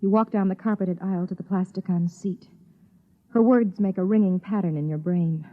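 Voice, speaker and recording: A woman's voice: fairly low pitch, steady tone, moderate pace, lightly acted. An actress playing an uneasy woman passenger hiding a secret, in a 1950s radio drama. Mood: tense, hushed narrating. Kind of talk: 1950s radio drama, second-person narration. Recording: muffled, tinny 1950s radio recording.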